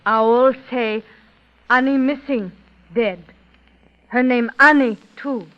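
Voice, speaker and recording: Slow, halting high-voiced witness woman. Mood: stolid, insistent. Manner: halting, terse broken English. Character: stubborn, solemn Native woman, mother giving testimony. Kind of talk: 1930s radio drama acting. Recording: dull old radio recording.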